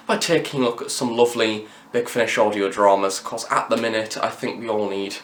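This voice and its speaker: A man's voice: lowish voice, steady tone, fairly quick, fairly bright tone, a little hiss or hum. A young man presenting a review to camera in a chatty, wordy, emphatic way. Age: young adult. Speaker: review channel host. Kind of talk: scripted-sounding audio drama review to camera. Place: room in university campus housing. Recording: echoey, roomy sound.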